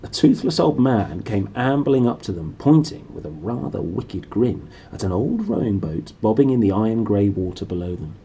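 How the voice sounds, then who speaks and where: A man reading a children's novel aloud as an animated storyteller. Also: lowish voice, normal speed, hardly any pauses, slight background noise. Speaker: storybook reader.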